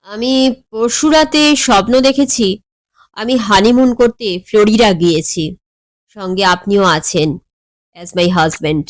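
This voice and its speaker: Woman voicing young heiress, blunt, self-assured, deliberate delivery, middle pitch, audio story, dry recording. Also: dry recording, natural voice.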